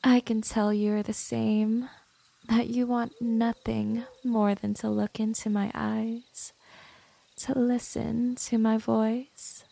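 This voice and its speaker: A woman plays a smug, seductive female vampire in an intimate ASMR roleplay. Her voice sits fairly high yet soft and dark, with a gravelly fry. She speaks slowly and condescendingly, like a gentle predator in full control. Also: little pitch movement, exaggerated voice. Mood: amused, smug. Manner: slow, condescending, seductive, breathy drops into whisper. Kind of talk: ASMR roleplay, scripted monologue to the listener.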